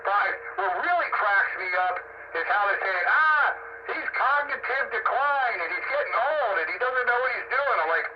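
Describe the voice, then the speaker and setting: A man's voice: very high-pitched, animated, normal speed, hardly any pauses. An American man in casual, opinionated discussion, speaking bluntly and emphatically. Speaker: radio discussion participant. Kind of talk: casual opinionated political chat. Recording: dull, noisy radio-style audio. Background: strong background noise.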